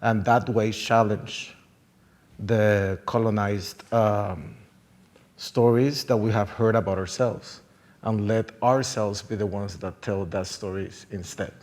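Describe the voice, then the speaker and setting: A man's voice: on the low side, steady tone, slow pace, darkish tone. A man, a film director, telling his own story conversationally as a panelist. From Puerto Rico.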